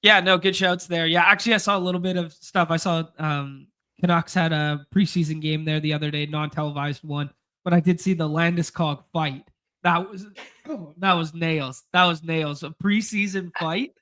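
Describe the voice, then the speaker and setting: A man with a head cold sounds nasal and stuffed up as he hosts a casual sports chat. His voice sits high and bright, and he talks in an upbeat, slangy way. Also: steady tone, moderate pace, clear, resonant tone. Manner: upbeat, slangy hype talk. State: congested from a cold. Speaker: podcast host.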